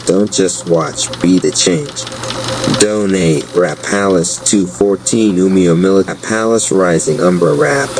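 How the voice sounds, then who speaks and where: A man narrating a scripted promotional piece in an even, announcer-style read. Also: low voice. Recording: clear steady background noise.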